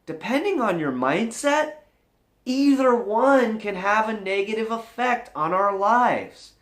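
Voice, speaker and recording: Earnest man with lively, high-pitched delivery. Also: takes it slowly, echoey room. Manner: earnest, confessional, emphatic. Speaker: vlog host. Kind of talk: candid motivational vlog talk to camera.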